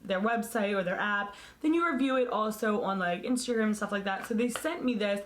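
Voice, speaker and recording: A woman beauty vlogger talking casually to camera, hoarse as she loses her voice, candid and blunt in her opinions. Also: mid-range voice, brisk, slight background noise.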